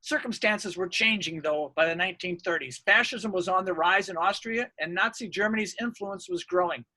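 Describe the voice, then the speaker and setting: A man's voice: high-pitched, normal speed, sharp tone, dry recording, low-quality recording. A man reading out a scripted academic research presentation.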